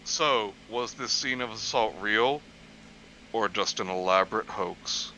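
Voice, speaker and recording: A man's voice: lowish voice, measured pace, pushed and bright, muffled recording, slight background noise. A man joking casually with friends, hammy and apt to jump into silly voices. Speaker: co-commentator.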